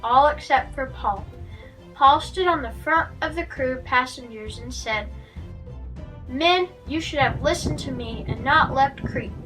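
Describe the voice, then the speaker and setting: A woman's voice: high-pitched, measured pace, bright, forceful tone. A woman reading a Bible story aloud for children as a guest narrator. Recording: distant microphone, music underneath.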